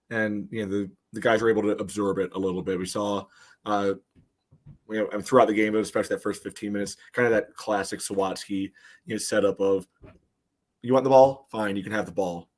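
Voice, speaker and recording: A man talks through sports analysis as a podcast panelist. His voice is a little low, and his delivery is casual and conversational. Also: fairly quick.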